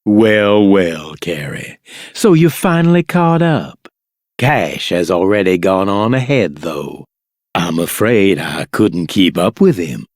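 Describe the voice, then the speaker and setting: A man playing a weary fellow left behind in a video game, speaking in a measured, sighing way. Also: sits low, big pitch swings, no room sound, studio quality, exaggerated voice. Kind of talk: video game dialogue acting.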